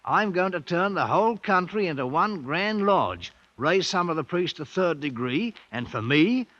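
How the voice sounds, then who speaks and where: A man's voice: sits high, expressive, normal speed, fairly bright tone, dull audio. A man in a 1950s radio drama playing a bold, ambitious British ex-soldier who makes himself king, commanding and boastful in colloquial speech. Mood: scheming, fervent.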